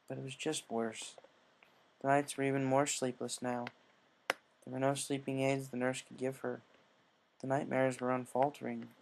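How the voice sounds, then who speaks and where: A man reading a scripted story as narrator, in a measured way with occasional stumbles. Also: medium pitch, creaky, slight background noise, poor audio. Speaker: story reader.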